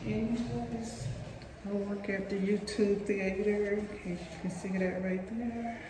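A woman's voice: medium pitch, background noise. A woman in her thirties vlogging casually to her viewers from a tiled restroom at work, calling them "you guys" as she gets ready for her shift. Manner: chatty, addresses viewers as you guys, relaxed and unhurried. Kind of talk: casual vlog talk to camera. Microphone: phone camera propped at a distance. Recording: echoey tiled room, voice from far off.

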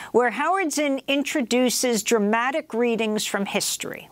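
A woman's voice: medium pitch, no room sound. Female news host, scripted voice-over, close and dry with no room sound. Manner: measured, even news-reading delivery. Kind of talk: scripted narration.

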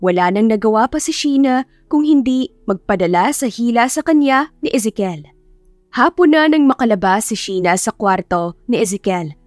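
A woman's voice: fairly high pitch, lively intonation, normal speed, darkish tone, no echo, faint music behind. Woman reading a love story aloud. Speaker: audiobook narrator. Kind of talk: scripted storytelling narration.

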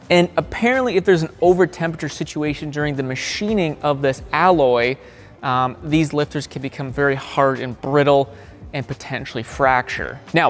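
An upbeat young man hosts a car channel and explains a topic straight to camera. His voice sits a little high for a man. He stands in a large garage workshop, speaking into a hidden mic. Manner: upbeat, talks with his hands. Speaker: automotive channel host.

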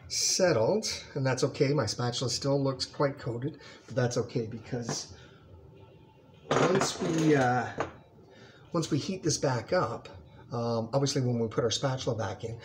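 A middle-aged man giving a casual cooking demonstration in his home kitchen, relaxed and full of ums as he stirs, with clatter behind him. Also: medium pitch, very noisy. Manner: relaxed, talks while stirring, many ums. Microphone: built-in camera mic, close framing.

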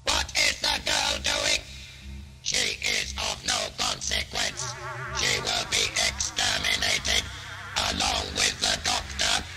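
A menacing alien war machine, grates out commands in a metallic robot voice. The delivery is slow and staccato, swinging wildly in pitch, with music underneath, in the manner of a sci-fi audio drama. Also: male voice, huge pitch swings, vocal fry, heavily acted. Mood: cold, gloating. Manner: barking, domineering orders. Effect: ring-modulated robot voice.

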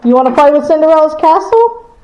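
A woman hosting a ghost hunt in an abandoned school, casually chatting and slowly coaxing spirits with questions. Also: high-pitched, expressive, darkish tone, low-quality recording. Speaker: paranormal explorer host. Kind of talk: casual ghost-hunting chat.

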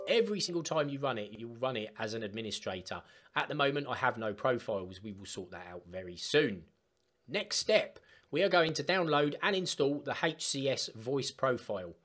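Male tutorial presenter, informal and emphatic, very lively mid-pitched delivery. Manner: emphatic, instructional. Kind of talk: informal step-by-step software tutorial. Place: voice-over for screen recording.

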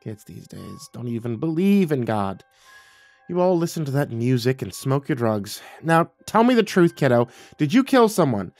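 A man's voice: a little high, very animated, normal speed, hardly any pauses, no room sound, faint music behind, voice pushed up. A man voicing an older mother who is passive-aggressive, pouty and pointed, in a dramatized story. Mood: accusing. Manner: accusatory, guilt-tripping, pointed.

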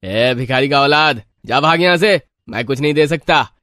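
A man voicing a haughty rich old man in a cartoon moral story, gruff and scornful. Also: medium pitch, normal speed, pushed and bright, no echo, clean studio sound, close to an everyday voice. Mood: scornful, angry. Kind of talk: animated Hindi moral story acting.

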